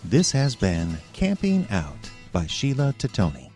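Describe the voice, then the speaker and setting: Mellow guy reading scripted credits, low voice, swingy intonation, guitar behind. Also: wildly swooping pitch, a little slow. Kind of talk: scripted announcement. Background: guitar music.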